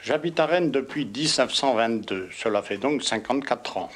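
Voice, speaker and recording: Older man, mid-seventies, native Breton speaker; steady, formal interviewee, mid-range pitch. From Brittany. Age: 70s. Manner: measured, formal, emphatic. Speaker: interviewee, retired print worker. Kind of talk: interview answers.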